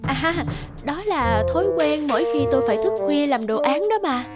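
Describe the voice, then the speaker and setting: A woman's voice: high-pitched, expressive, moderate pace. A woman voicing an awkward, hesitant, educated single woman in her forties in a cartoon. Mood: flustered. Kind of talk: cartoon voice acting. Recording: music behind the voice.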